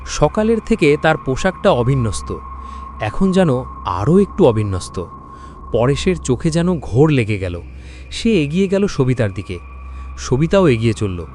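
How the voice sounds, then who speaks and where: A man's voice: mid-range voice, big pitch swings, moderate pace, long pauses, a little mellow, slight background noise. A man narrating a scripted horror story in a dramatic storytelling style.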